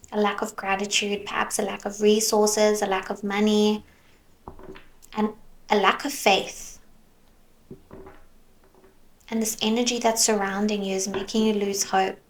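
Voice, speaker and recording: A woman giving a tarot reading, musing softly as she goes and sometimes slipping into a whisper, her voice worn as though she is losing it. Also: mid-range voice, slight background noise, rough recording. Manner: slow, soft, musing, drifts into whispers. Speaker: tarot reader.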